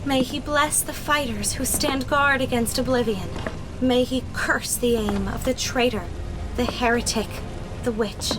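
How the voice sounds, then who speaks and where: A woman playing a high-voiced pious scribe sister. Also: fairly even intonation, a little slow, noisy background, close to an everyday voice. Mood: prayerful. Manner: earnest, prayerful. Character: earnest young female scribe sister, pious. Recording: music underneath.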